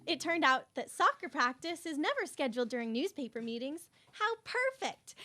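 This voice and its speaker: A woman's voice: high voice, sing-song, normal speed, bright, forceful tone, heavily acted. A woman playing a chirpy, self-absorbed schoolgirl who narrates her own story in a radio drama. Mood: cheerful, oblivious. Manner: chirpy, oblivious, earnest. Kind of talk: campus radio serial drama acting.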